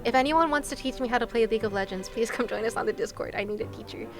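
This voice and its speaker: Playful, high-voiced young woman streaming. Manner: playful, giggly, quick to exclaim. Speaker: livestream host. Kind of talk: casual chat with stream viewers over a game. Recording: game music behind the voice.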